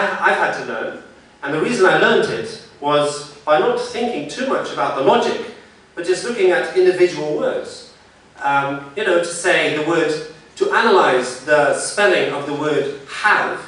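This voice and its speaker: Male phonetician giving a symposium talk, slightly high voice, loose and conversational, heard from afar in a very reverberant lecture hall. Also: fairly bright tone. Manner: conversational, thinking aloud with frequent pauses. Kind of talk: academic conference talk. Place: large lecture room. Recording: very echoey hall, mic far off.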